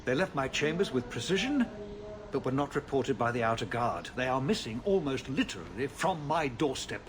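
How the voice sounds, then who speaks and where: An actor playing a weary, civil nobleman who rules a troubled city in a video game, measured, formal and dryly ironic. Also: mid-range voice, big pitch swings, background noise, lightly acted. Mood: grave concern.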